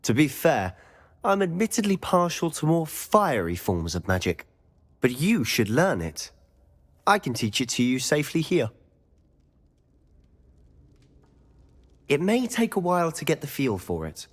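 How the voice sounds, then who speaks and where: An actor playing a confident, secretive teenage wizard schoolboy in a video game, speaking in a conspiratorial, self-assured way. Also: male voice, a little high, sing-song, normal speed. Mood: keen, instructive. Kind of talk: scripted game dialogue.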